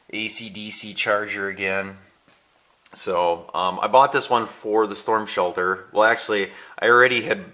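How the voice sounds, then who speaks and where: A man's voice: deep voice, sharp tone. An American man giving a casual, unscripted home show-and-tell of his gadgets, thinking aloud in a hesitant way. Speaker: amateur video maker showing his gadgets. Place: indoors at home and in a workshop. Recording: noisy amateur home video with handling clicks.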